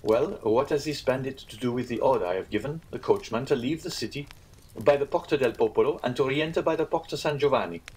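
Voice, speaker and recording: Man reading a courteous, reasonable gentleman, slightly husky. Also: medium pitch, fast, pitched above the performer's own voice, lightly acted, rough recording. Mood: reassuring, probing. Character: courteous, reasonable gentleman, calm and quietly probing.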